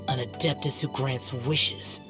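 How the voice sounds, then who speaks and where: A man acting as a youthful-looking but ancient demon slayer from a fantasy game. He is solemn, weary and formal, keeping an aloof, stoic distance. Music plays clearly behind him. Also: medium pitch, fairly even intonation, a little slow, close to an everyday voice. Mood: stern, formal. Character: ancient, solemn, weary young male demon-slaying guardian spirit. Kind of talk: scripted game character dialogue.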